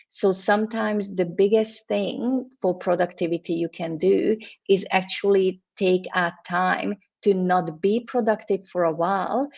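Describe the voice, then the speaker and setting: A woman with a gravelly, low-set voice gives calm advice as a guest expert. She explains at an even, measured pace. The sound comes through a dull, lo-fi video call line. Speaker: guest psychologist. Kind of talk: advice in an interview.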